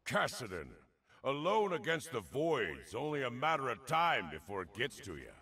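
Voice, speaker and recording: A male actor playing a cocky, wisecracking old veteran warrior in a fantasy video game, gravelly and wry as he taunts. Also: mid-range voice, wildly swooping pitch, moderate pace, sharp tone, exaggerated voice. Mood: taunting, playful. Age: older. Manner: wry, taunting, pun-loving delivery. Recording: music behind the voice.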